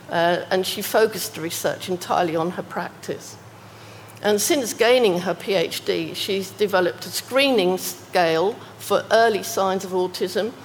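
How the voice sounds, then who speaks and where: A woman giving an academic talk on research case studies, speaking in a measured way and reading quoted passages aloud. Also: mid-range voice, long reverb tail, slight background noise, low-quality recording. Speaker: academic presenter.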